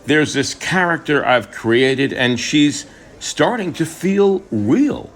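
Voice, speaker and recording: Man acting a frustrated middle-aged writer, expressive delivery. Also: on the high side, slow, voice pushed up, heavily acted. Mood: earnest, searching.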